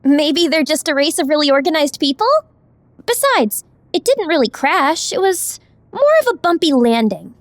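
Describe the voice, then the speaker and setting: A nervous, curious girl in a sci-fi audiobook. Her voice is extremely high and swings around in pitch, hesitant yet excitable, recorded very dry. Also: normal speed, no echo. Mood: nervous, questioning. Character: nervous, curious girl tagging along on adventure. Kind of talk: audiobook character acting.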